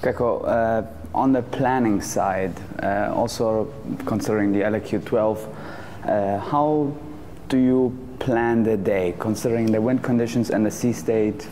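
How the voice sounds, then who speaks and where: A male interviewer poses questions in a dark, mellow voice. The recording has long reverb and a faint layer of noise. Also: fairly low pitch, a little slow, hardly any pauses.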